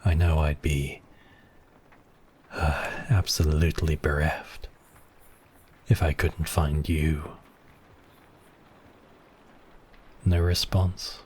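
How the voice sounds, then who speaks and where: Man as a deep, slow, silky vampire count. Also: level tone, a little mellow, husky, full character voice. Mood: mock sympathy. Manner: silky, teasing, drawn-out phrasing with sighs and pauses. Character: suave, obsessive male vampire count, mocking and possessive. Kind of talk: intimate ASMR roleplay acting.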